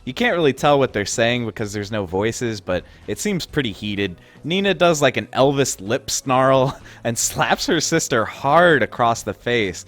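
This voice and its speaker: A man's voice: mid-range voice, lively intonation, unhurried, few pauses, a bit bright. A young man giving casual, wry commentary over game footage, amused and often laughing at the absurd moments. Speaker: gaming video host.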